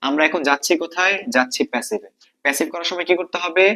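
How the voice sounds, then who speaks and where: A man's voice: a little high, fast talker, sharp tone. A man with a Bangladeshi accent teaching an exam-prep lesson, explaining step by step, repeating points and asking check questions. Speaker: English grammar teacher.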